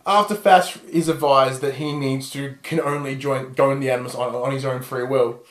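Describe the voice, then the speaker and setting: A man's voice: mid-range voice, steady tone, moderate pace. A man hosting a podcast, chatting casually about film in an irreverent, sweary way. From Australia. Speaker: podcast host. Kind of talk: casual film review chat. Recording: roomy, echoing room sound.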